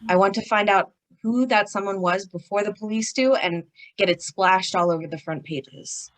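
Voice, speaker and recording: A woman's voice: mid-range voice, moderate pace, natural voice, low-quality recording. A woman playing a worried, formal male business executive in old-time radio style, somewhat flustered. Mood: worried, secretive. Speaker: actor in an old-time radio detective play. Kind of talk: 1940s radio acting.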